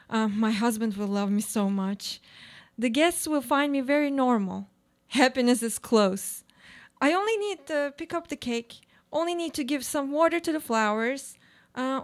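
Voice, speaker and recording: A woman playing a troubled, self-doubting housewife in a reflective, questioning radio play monologue. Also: high voice. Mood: anxious, bewildered.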